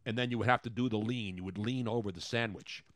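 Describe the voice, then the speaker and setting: A man's voice: fairly low pitch, steady tone, quick pace, fairly bright tone, no echo, clean studio sound. Joking man hosting talk radio. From Philadelphia. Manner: joking, mock-outraged, rambling. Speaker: radio show host. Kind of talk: casual sports talk radio.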